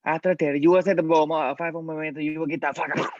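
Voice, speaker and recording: A man playing an exasperated undercover soldier in a script read, with halting broken English in an exaggerated mock Italian accent. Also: fairly high pitch, flat delivery, no room sound, highs cut off, natural voice. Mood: exasperated, confused.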